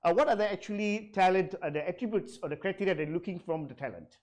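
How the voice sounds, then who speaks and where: A man's voice: sits high, lively intonation, quick pace, a little mellow. A man hosting an interview, asking long questions in a polite, deferential way. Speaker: interview host.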